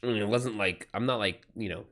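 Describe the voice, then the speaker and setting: A man's voice: on the low side, brisk, fairly bright tone. A man chatting informally as an author on a livestream, rambling in loose, slangy, sweary talk. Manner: rambling, retells exchanges in quoted back-and-forth. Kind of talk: casual livestream chat with anecdotes.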